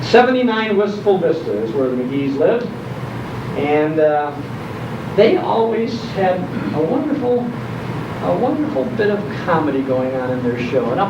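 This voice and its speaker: An older man with a high-pitched voice gives a nostalgic public talk, leaning on repeated phrases like a keen storyteller. He is heard from a distance in an echoey room, with clear background noise. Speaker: speaker on old-time radio history. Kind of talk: nostalgic talk to a live audience. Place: indoor program venue, recorded from afar. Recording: dull, distant, echoey hall recording, loud noise.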